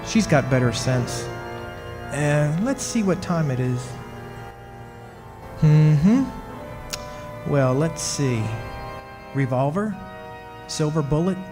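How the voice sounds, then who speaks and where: A man's voice: a little high, lively intonation, slow pace, pauses often. A man acting in a radio play as a muttering, gloating husband who talks to himself in mock-sweet endearments. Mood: gloating, scheming. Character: muttering, gloating husband hunting his witch wife. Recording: echoey hall, music behind.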